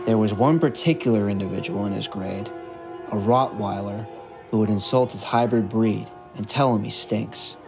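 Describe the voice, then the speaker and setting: A man reading a scripted story narration in a measured, earnest way. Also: lowish voice, creaky. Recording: music bed under the narration. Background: background music.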